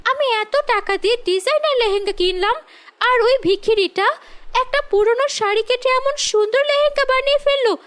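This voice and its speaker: A woman's voice: very high voice, brisk, a bit bright, pitched above the performer's own voice. A woman voicing a jealous, boastful, scheming girl with a taunting manner in a cartoon fairy tale. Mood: jealous, indignant. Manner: spiteful, taunting.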